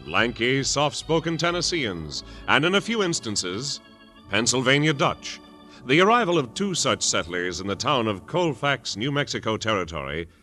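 A man announcer narrating a radio drama in a dramatic, measured storytelling voice. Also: fairly low pitch, bright, forceful tone. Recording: old radio broadcast with organ music.

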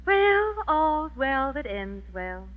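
A woman's voice: high voice, big pitch swings, takes it slowly, faint music behind, heavily acted. A woman playing a cheerful, affectionate wife in a 1940s radio sitcom. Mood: relieved, fond. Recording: muffled old 1940s radio broadcast.

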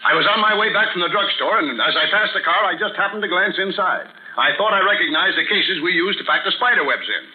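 Old radio suspect, defensive and indignant, highish voice, tinny sound. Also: male voice, lively intonation, quick pace. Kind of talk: 1940s radio detective drama acting.

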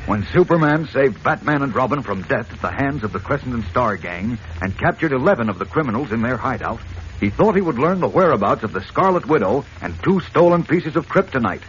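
Animated 1940s male radio announcer. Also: mid-range voice. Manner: dramatic, emphatic. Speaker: announcer and narrator. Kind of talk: 1940s radio scripted narration. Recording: muffled, hissy old radio recording.

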